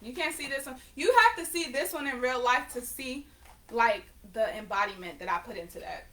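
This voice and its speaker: A woman's voice: fairly high pitch, lively intonation, moderate pace, fairly bright tone, a little hiss or hum. A woman of nineteen talking casually to her viewers, rambling and correcting herself as she goes. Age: late teens. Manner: rambling, self-correcting, conversational. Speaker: vlogger and painter. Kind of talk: casual chat to camera.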